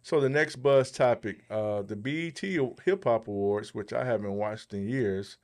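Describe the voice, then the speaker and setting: Lower-voiced man, halting podcast commentary. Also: a little mellow. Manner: halting, pauses mid-thought. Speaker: podcast co-host. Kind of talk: casual topical commentary.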